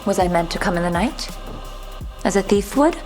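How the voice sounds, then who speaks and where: A woman's voice: medium pitch, lively intonation, normal speed, over music, lightly acted. A woman playing a polite but forthright young noblewoman in an audio drama. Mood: bold. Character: young noblewoman, polite yet boldly outspoken.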